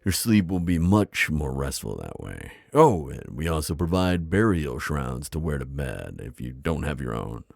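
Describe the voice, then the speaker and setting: A man playing a friendly, wise old vampire innkeeper in an ASMR roleplay, with a deep gravelly voice and a chatty, reassuring manner. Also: very animated, unhurried, few pauses, no room sound, studio quality, full character voice. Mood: chatty, hospitable. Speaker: roleplay audio performer. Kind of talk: immersive ASMR roleplay acting.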